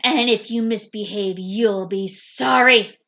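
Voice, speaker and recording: A woman voicing a strict, unpleasant substitute teacher from a children's storybook, hissing and snapping slow, loud orders. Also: mid-range voice. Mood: stern. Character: stern nasty female substitute teacher.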